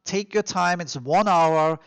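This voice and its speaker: Male podcast host, Austrian accent, fairly high creaky voice, dry close sound; emphatic, encouraging advice-giver. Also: takes it slowly, no echo. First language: German. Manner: emphatic, encouraging, with informal repetition. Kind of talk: motivational advice monologue to listeners.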